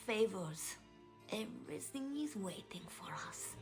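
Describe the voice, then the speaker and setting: A woman's voice: mid-range voice, lively intonation, a little slow, natural voice. An actress playing a commanding, teasing female spymaster in a video game cutscene. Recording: music behind the voice. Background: music.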